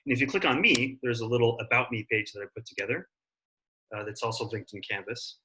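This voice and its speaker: A man's voice: medium pitch, lively intonation, fast, noisy background. An American man in his thirties teaching an online class, talking in a casual, friendly way with plenty of fillers. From Africa and Italy.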